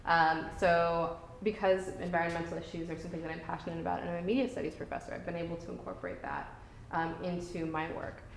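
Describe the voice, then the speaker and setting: A woman professor from Michigan addresses a room of new students in a friendly, informal way. Her voice sits a little low and carries a roomy echo with faint background noise. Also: flat delivery, fast. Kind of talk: casual talk to students.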